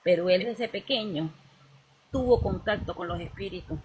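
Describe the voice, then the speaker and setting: A woman's voice: medium pitch, normal speed, a little mellow. A woman speaking in a casual spiritual chat, rambling and searching for words as she restarts her sentences. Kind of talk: casual conversation about spirituality.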